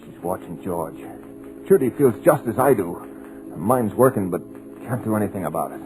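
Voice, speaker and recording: A man's voice: on the low side, lively intonation, moderate pace, darkish tone, lightly acted, low-quality recording. A man playing a trapped, terrified writer. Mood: desperate. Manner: breathless, urgent inner narration. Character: trapped, hypnotized male radio writer, dazed and terrified. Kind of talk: 1940s radio drama acting. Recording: old radio recording with music behind.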